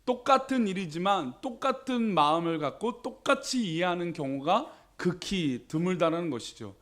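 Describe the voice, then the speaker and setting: A man's voice: high voice, big pitch swings, normal speed, a bit bright. A male pastor preaching a sermon in a conversational way, often asking the congregation questions.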